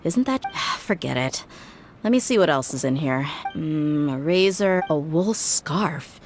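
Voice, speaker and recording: Casting: frank young mercenary woman, lowish voice, reflective game dialogue with music underneath. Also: expressive, measured pace. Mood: wry, resigned. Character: tough, candid young female mercenary, reflective. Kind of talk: video game voice acting.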